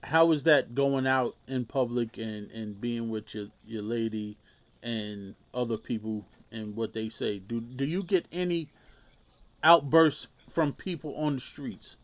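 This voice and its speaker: A man's voice: mid-range voice, unhurried. A man hosts a radio interview in a middling voice. He keeps his responses short and relays audience questions to the guest. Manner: brief affirmations, reads audience questions. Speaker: radio show host. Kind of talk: interview hosting.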